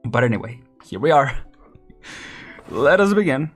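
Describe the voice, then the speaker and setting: A man's voice: medium pitch, very animated, a little slow, husky, faint music behind. A man casually commenting while streaming a game, rambling and laughing often.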